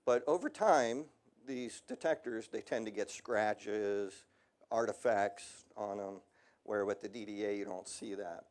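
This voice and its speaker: A man's voice: fairly low pitch, measured pace, darkish tone. A middle-aged man giving a casual technical seminar talk on industrial radiography, with a slightly worn voice and frequent ums. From United States.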